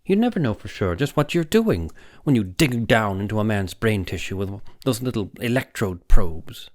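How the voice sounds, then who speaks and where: A man's voice: on the low side, sing-song, normal speed. Audiobook role: male reader as doubtful young doctor, troubled conscience, hesitant, raising objections. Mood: worried. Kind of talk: audiobook character dialogue.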